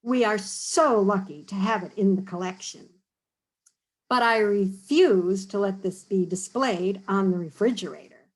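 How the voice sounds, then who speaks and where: A woman's voice: medium pitch, measured pace, pitched below the performer's own voice, natural voice, poor audio. A woman voicing a proud, dignified elderly grandmother in a children's picture book read-aloud.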